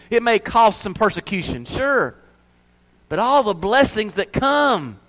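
Man, pastor, high voice, church sermon, loud emphasis, hushed asides.

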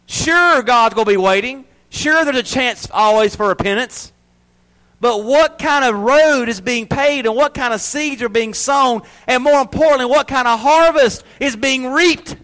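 A man preaching a sermon to his church, swinging from loud emphasis to hushed asides. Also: very high-pitched, measured pace, poor audio. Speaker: pastor.

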